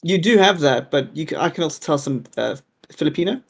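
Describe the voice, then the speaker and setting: A man's voice: on the high side, expressive, fast talker, creaky. A man chatting casually with his raid group over a voice call, musing and easygoing. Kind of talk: casual voice chat while gaming.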